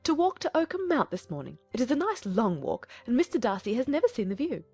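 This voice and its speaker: A woman playing a fussy, excitable middle-aged mother in a dramatized audiobook, exclaiming and easily vexed. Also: fairly high pitch, quick pace, husky, no echo, faint music behind, exaggerated voice. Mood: brisk, scheming. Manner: exclamatory, gushing complaint.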